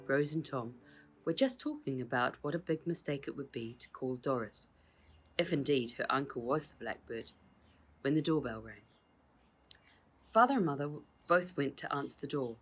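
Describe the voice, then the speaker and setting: Low-voiced woman narrating a children's story. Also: breathy edge. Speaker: author reading own book.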